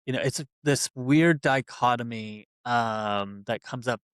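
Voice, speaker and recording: A man hosting a casual podcast interview, relaxed and conversational, with an easy laugh and a lot of filler words. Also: mid-range voice, unhurried, clear, resonant tone, dry recording. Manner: relaxed, conversational, laughs easily, many fillers like "you know". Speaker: podcast host, website builder.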